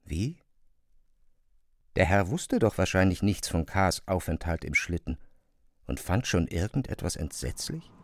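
A man with speech narrating a literary classic in a measured, expressive way. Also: sits low, vocal fry, dry recording. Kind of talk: literary audiobook narration, dramatized radio reading.

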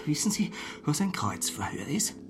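An actor playing an anxious, learned traveller who confides warnings in a radio drama. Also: male voice, fairly high pitch, very animated, normal speed, a bit bright, soft background music. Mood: knowing.

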